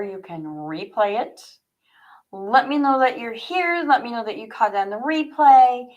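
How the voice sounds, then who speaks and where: A woman's voice: medium pitch, rough recording. A woman author hosting a livestream, reading her own story aloud and chatting to viewers.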